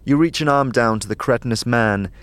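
A man's voice: medium pitch, a little fast, fairly bright tone. A man narrating a scripted fantasy audio drama in an intense, theatrical way. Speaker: narrator and performer. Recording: clear music bed behind voice.

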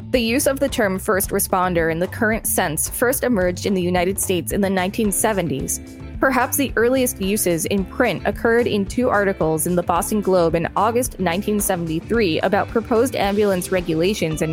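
A woman hosting a podcast, reading encyclopedia articles aloud with brief commentary. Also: medium pitch. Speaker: podcast host and reader.

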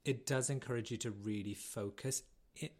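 A British man co-hosting a podcast in casual chat, talking in a chatty, animated, self-deprecating way. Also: medium pitch, moderate pace, a crowd in the background. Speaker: podcast co-host.

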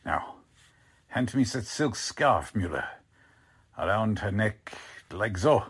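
An actor playing a cold, contemptuous Nazi spy commander in 1940s radio drama style, husky, deliberate and commanding. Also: male voice, lowish voice, expressive, shouting, heavy background noise. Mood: menacing.

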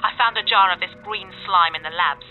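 A woman's voice: mid-range voice, fairly quick, faint music behind. A woman playing a lonely, resentful, petty department boss on a filtered audio log in a sci-fi drama. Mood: spiteful glee. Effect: lo-fi recorder filter. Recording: tinny phone-like audio log.

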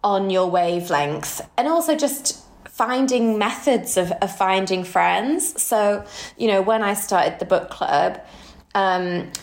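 Woman in her thirties, roomy echoey sound. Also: fairly low pitch. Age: 30s. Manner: effusive, affectionate. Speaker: podcast co-host. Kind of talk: casual podcast chat.

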